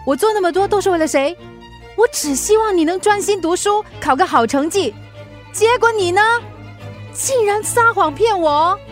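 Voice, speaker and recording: A woman acting a strict, exasperated mother in a Mandarin radio drama, scolding and quick to anger. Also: extremely high pitch, lively intonation, soft background music. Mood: hurt, scolding. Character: strict, exasperated working mother of a teenage girl.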